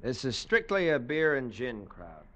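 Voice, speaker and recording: Jaded American expatriate, muffled radio. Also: male voice, medium pitch, very animated, unhurried, fairly bright tone. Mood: fed up. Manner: slangy, sardonic. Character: jaded, grumbling American expatriate plantation man. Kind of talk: 1940s-50s radio drama acting. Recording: muffled old radio recording.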